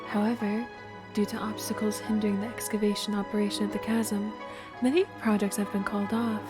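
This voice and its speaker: A woman's voice: medium pitch, flat delivery, fairly quick. A woman livestreaming a game, chatting casually and reading the on-screen text aloud. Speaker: streamer. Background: game music.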